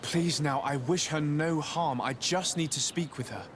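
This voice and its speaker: A man's voice: on the high side, moderate pace, noisy background, close to an everyday voice. A man playing an earnest, courteous and resolute young nobleman envoy in a video game.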